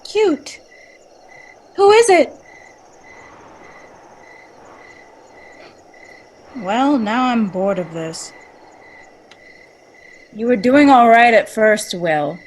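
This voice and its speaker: Bold, impatient young woman played by a woman, a touch high, curt and sardonic, faint background noise. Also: very animated, takes it slowly, pauses often. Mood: sardonic, defiant. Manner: blunt, dry, bossy. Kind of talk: dramatic reading, casual dialogue.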